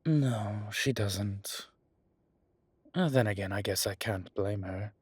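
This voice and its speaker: Audio drama role: wry centuries-old male vampire acted by a man, husky and unhurried, self-assured, close dry recording. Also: lowish voice, no echo. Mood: amused.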